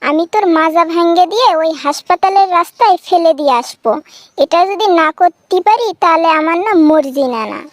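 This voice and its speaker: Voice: very high-pitched, brisk, no echo, clean studio sound. A performer voicing a fierce, sarcastic village wife in a comic cartoon, taunting sharply in a rural Bangladeshi Bengali accent. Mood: threatening, defiant. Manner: taunting, sharp-tongued, threatening. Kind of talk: comic cartoon dialogue, exaggerated bickering.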